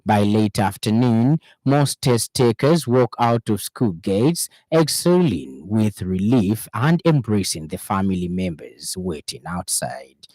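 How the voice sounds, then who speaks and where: A man with a Zambian accent reading a scripted radio news bulletin in a formal, measured way. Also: lowish voice, big pitch swings, a little mellow, dry recording. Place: radio studio.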